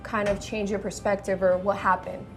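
A woman's voice: mid-range voice, little pitch movement, moderate pace. Female host in a casual interview, music behind.

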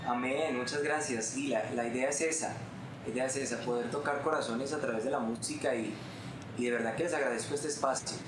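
A man's voice: mid-range voice, steady tone, fairly quick. Earnest young Colombian man, roomy sound. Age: 20s. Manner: earnest, heartfelt storytelling. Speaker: guest musician. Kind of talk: testimony in an interview. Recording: roomy room with background noise.

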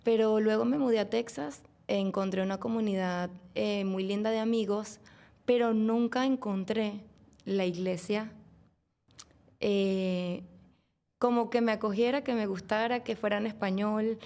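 A woman's voice: medium pitch, steady tone, unhurried, mellow. A woman, a guest, telling her own faith story in a hesitant, casual way with many fillers. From Venezuela. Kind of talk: casual personal testimony.

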